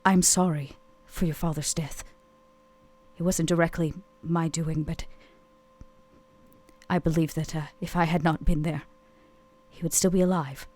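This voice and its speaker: A woman playing a commanding, ageless vampire woman in a tabletop game, tender yet businesslike, with measured, formal phrasing. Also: deep voice, steady tone, darkish tone. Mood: solemn, devoted. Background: music.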